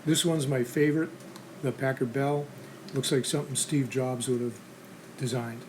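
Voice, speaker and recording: Casual man narrating over clear background noise. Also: medium pitch, fairly even intonation, normal speed. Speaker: host of a restoration how-to channel.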